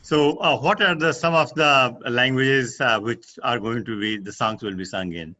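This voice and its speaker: A man's voice: medium pitch, moderate pace, sharp tone. An older man hosting an interview over a video call, hesitating with uh fillers. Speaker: host. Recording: dull lo-fi call audio.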